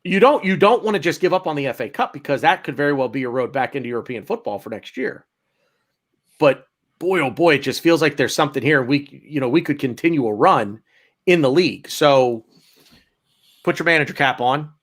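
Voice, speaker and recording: A man's voice: fairly high pitch, animated, brisk, fairly bright tone. A man hosting a football podcast in casual chat, playful and teasing, asking long questions. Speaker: podcast host.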